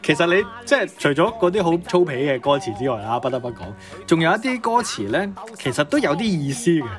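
Lively higher-pitched Cantonese man, playful. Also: quick pace, soft background music. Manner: playful, expressive, exclamatory. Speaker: podcast co-host. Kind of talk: casual banter.

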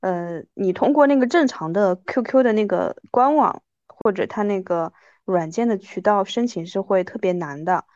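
A woman in her thirties hosting a casual chat interview, talking in an animated way. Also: mid-range voice, normal speed, dry recording, dull audio. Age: 30s. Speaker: podcast host.

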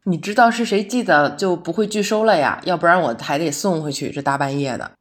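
一位女演员在广播剧里饰演闪送女快递员，说话口语化、直来直去，热心又有点较真。. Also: female voice, fairly low pitch, brisk, lightly acted. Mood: earnest, chatty. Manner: colloquial, blunt, good-natured. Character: chatty, straightforward female delivery courier, earnest and quirky. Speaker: courier in an audio drama. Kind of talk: naturalistic modern audio drama dialogue.

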